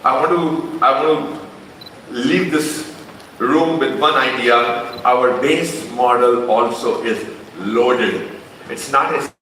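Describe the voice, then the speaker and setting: An Indian-accented man addresses a crowd at a launch event, his voice bright and forceful. He talks loosely and conversationally, circling back and restarting phrases. The sound is distant and booms around a large reverberant hall, with a faint hum behind it. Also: slow. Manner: conversational, with frequent restarts and fillers. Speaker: company executive presenting a car launch. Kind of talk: unscripted presentation speech. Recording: echoey hall from a distant mic.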